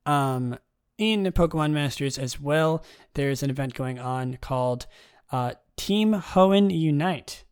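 A young man with a raspy voice hosting his own podcast, talking in a relaxed, rambling way and reading out game news. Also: slow pace. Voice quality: raspy, low tonight. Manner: relaxed and rambling, many ums and restarts. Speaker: podcast host.

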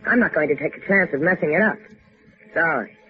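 Dry, wry low-voiced dame. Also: female voice, lively intonation, fairly quick. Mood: cool, dry refusal. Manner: dry, sardonic. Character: cool, wry, tough female sidekick. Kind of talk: 1950s radio adventure drama acting. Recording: tinny band-limited 1950s radio recording with music.

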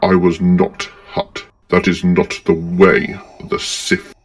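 A man playing a menacing, commanding dark lord in a fan-made space fantasy audio drama, speaking deliberately and with threat. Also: deep voice, wildly swooping pitch, faint music behind, exaggerated voice. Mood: stern, threatening.

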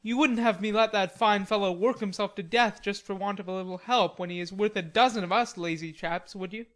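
A woman voicing a cheerful, theatrical young gentleman who teases and exclaims, as character dialogue in an audiobook. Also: medium pitch, a little fast, close to an everyday voice. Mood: easygoing. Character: cheerful, teasing young gentleman, tall and melodramatic.

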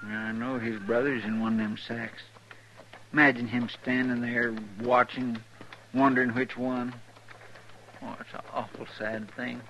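Folksy, soft-hearted sidekick, rural Western drawl, gravelly, lamenting, on a faintly noisy old radio western. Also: male voice, mid-range voice, little pitch movement, unhurried, muffled recording. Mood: sad, indignant. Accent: rural American West. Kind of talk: 1950s radio western acting.

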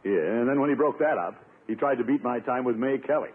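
An actor playing a blustering, jealous, portly middle-aged suitor in a 1950s radio sitcom, indignant and full of exclamations. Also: male voice, medium pitch, fast, breathy edge, lightly acted. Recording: muffled, noisy 1950s radio recording.

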